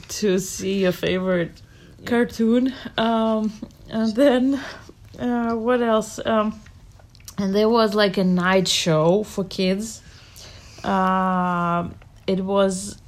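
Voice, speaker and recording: A woman, answering interview questions about her childhood in an unhurried way, searching for her words. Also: medium pitch. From Russia. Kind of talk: casual childhood memories.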